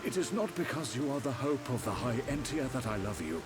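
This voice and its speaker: A man's voice: mid-range voice, sing-song, close to an everyday voice. A man playing a dignified, loving older emperor in a video game, speaking formally. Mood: solemn, tender. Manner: slow, stately, formal. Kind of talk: video game cutscene acting. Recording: loud music and rain over dialogue.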